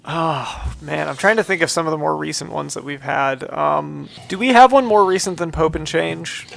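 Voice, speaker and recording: A man's voice: a little high, normal speed, vocal fry, fairly bright tone, slight background noise. A man co-hosting a podcast in casual comedic banter, with an offhand, hesitant, joking way of talking. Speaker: podcast co-host.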